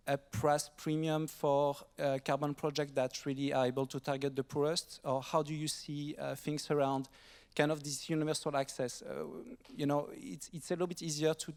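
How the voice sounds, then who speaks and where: A man's voice: on the high side, vocal fry, a little mellow. A man in the audience asking a panel a question at a conference, hesitant with many fillers. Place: conference hall. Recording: long hall reverb.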